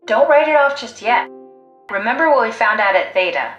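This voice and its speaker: A woman's voice: a little high, big pitch swings, moderate pace, a bit bright, lo-fi sound. A woman playing a pragmatic, driven, blunt scientist in a video game, analytical, matter-of-fact and persuasive. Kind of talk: video game voice acting, naturalistic dialogue. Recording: echoey sound. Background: faint music.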